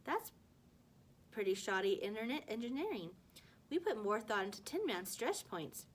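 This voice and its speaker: A woman's voice: a little high, sing-song, moderate pace. A woman voicing an earnest, friendly middle-school girl in a storybook, eager and a little tentative. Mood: upbeat.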